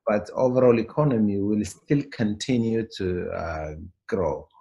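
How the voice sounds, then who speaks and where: A man with a low-pitched voice and an Ethiopian accent speaks as an interviewee. He explains things off the cuff, haltingly, piecing each answer together as he goes. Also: lively intonation, vocal fry, dark tone, muffled recording. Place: remote call.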